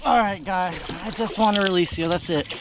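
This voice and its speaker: A young man talking casually and excitedly while fishing on a small boat at sea, joking with his friends. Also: high-pitched, brisk. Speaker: fishing vlogger. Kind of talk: casual fishing vlog talk. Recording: wind and boat noise.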